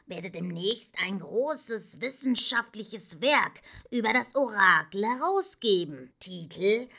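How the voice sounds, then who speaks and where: A woman's voice: on the high side, creaky, fairly bright tone, pitched above the performer's own voice, heavily acted. A woman voicing a fussy, boastful little old male gnome professor in an audiobook, clipped and pompous. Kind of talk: audiobook character voice.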